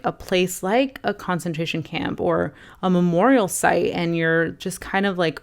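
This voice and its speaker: A young woman vlogger casually reviewing films to camera, rambling with lots of fillers and restarts, a little hoarse. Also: on the low side. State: slightly hoarse. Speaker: film vlogger ranking movies.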